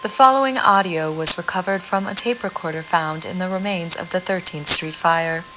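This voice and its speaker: Spooky lady narrator for a horror audio play, lowish voice, bit of hiss and clicks. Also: bright, forceful tone, rough recording. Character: ominous female narrator introducing a horror tale. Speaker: announcer.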